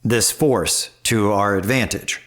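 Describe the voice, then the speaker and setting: A man's voice: fairly low pitch, slow, a bit bright. A man playing an insistent, pragmatic older senator in an audio drama, with a probing, businesslike manner. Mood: pressing, skeptical.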